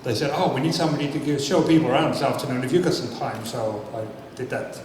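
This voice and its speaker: A man's voice: mid-range voice, fast talker, distant mic. A man, giving a chatty, self-deprecating talk and putting questions to a live audience. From Netherlands. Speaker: speaker at a science talk night. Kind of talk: casual public talk with audience banter. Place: large hall before a live audience. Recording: echoey hall, mic from afar, noisy.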